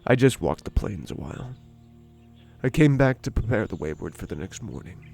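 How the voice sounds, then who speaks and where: A man narrating as an inventor looking back, speaking quietly and reflectively in a fan audio drama. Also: on the low side, sing-song, normal speed, creaky, soft background music, heavily acted.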